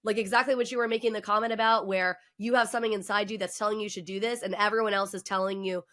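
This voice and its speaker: A woman hosting a podcast, talking in a casual, emphatic way with a loud voice and frequent "right?" and "okay?" asides. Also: mid-range voice, steady tone, fast. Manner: self-described loud voice. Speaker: podcast host. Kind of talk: casual conversation.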